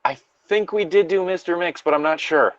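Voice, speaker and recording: A man's voice: sits high, brisk, no room sound, lo-fi sound. A man co-hosting a story-reading show, switching between informal, sweary banter and reading horror stories aloud. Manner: informal, profane.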